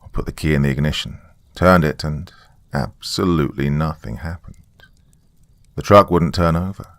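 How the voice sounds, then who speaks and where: A man narrating a dramatic first-person story in a deliberate, folksy storytelling manner. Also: extremely low pitch, vocal fry, a bit bright, no echo.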